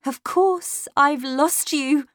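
A woman's voice: high voice, very slow, a bit bright, no room sound, studio quality, pitched above the performer's own voice, heavily acted. A woman voicing a desperate, accusing young governess in a storybook, insistent, emphatic and impassioned. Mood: bitter despair.

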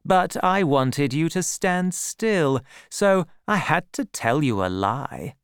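A reader voicing a bold, charming, deceitful soldier, a man with an assured and deliberate manner. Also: medium pitch, expressive, smooth, no room sound, clean studio sound, voice pushed up. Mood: confident, teasing.

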